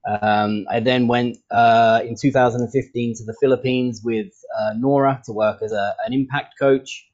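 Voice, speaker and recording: A man's voice: mid-range voice, fairly even intonation, moderate pace, darkish tone, lo-fi sound. A man with an English accent, a football coach introducing himself casually on an online training session, with many ums and a self-deprecating humor. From England.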